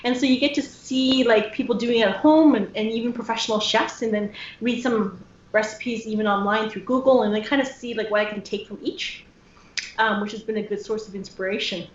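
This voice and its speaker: A woman's voice: a little high, fairly even intonation, fairly quick, echoey room, lo-fi sound, slight background noise. A woman co-hosting a casual chat show over a video call, friendly and inquisitive. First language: Cantonese as second language. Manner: friendly and inquisitive, laughs lightly. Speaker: co-host.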